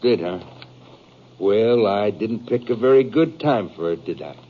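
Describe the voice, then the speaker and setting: A muffled vintage radio recording of a bitter western outlaw. His voice is low and a little husky, with rough country grammar and a halting, brooding way of speaking, as in a 1950s radio drama. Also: animated. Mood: cool, menacing. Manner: rustic ungrammatical speech, halting, confessional. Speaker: supporting actor in a radio western.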